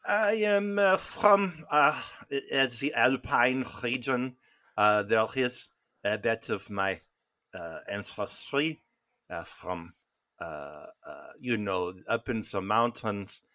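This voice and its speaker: A man putting on a halting fake-French gent. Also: mid-range voice, bright, forceful tone. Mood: hesitant. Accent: put-on French. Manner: halting, constant "uh" fillers, slow and hesitant. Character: pompous fake-French middle-aged gentleman. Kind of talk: improvised comedy bit.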